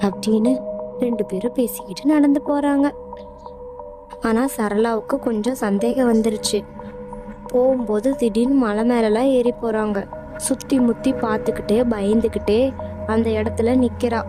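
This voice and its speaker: A woman with a Tamil accent narrating a cartoon story in a simple, steady storytelling manner. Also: fairly high pitch, normal speed. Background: background music.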